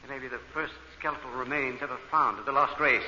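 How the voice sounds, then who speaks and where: An actor playing an eager, obsessive scientist on a spaceship crew in an old-time radio drama, thinking aloud in halting, breathless bursts. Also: male voice, mid-range voice, steady tone, bright, forceful tone. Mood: awed, absorbed. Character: excitable, obsessive male space-crew scientist, full of wonder. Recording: noisy, muffled old radio recording.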